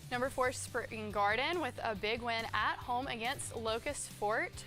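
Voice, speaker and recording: A woman reads football scores as a sports co-host. Her voice is clearly high and bright, delivered in a scripted broadcast style over faint music. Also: unhurried.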